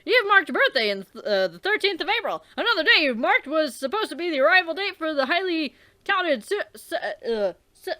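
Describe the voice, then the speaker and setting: A woman's voice: very high voice, big pitch swings, brisk, pushed and bright. A young woman hosting a let's play, reading a webcomic aloud with theatrical delivery, swearing and trailing off into casual asides.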